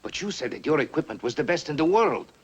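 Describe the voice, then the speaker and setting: An actor playing a menacing but smooth-talking foreign agent, formal and insinuating, in 1950s film style. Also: male voice, on the high side, very animated, quick pace, lo-fi sound. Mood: wary, pressing. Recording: noisy old 1950s film soundtrack.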